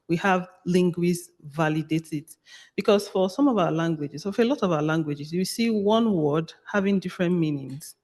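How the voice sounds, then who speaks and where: Nigerian panelist explaining in detail. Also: medium pitch. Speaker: panelist on AI language models.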